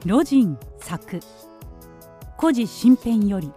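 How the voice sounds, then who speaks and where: A woman's voice: medium pitch, big pitch swings, extremely slow, mellow, soft background music. A woman reading scripted dramatic narration as the narrator of a radio play.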